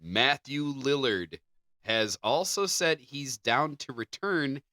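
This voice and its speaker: A man's voice: medium pitch, expressive, takes it slowly, bright, forceful tone, dry recording, clean studio sound. A man co-hosting a podcast, reading out entertainment news with casual banter. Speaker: podcast co-host.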